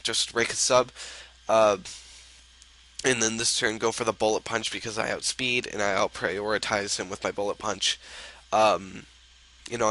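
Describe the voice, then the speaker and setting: A young man with a head cold talks through his own online match in a loose, rambling way, leaning on ums and uhs as he goes. His voice sits a little low but comes out bright and forceful despite the stuffy nose. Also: poor audio. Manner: rambling, filler-heavy, laid-back. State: sick and stuffy-nosed. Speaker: gaming video host. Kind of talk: casual game commentary.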